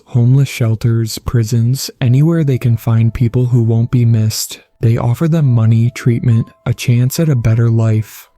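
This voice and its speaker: A man's voice: mid-range voice, mellow, no room sound, natural voice. A man voicing a guilt-ridden father and secret paranormal investigator, grave and confessional, in a horror story reading. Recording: music behind the voice.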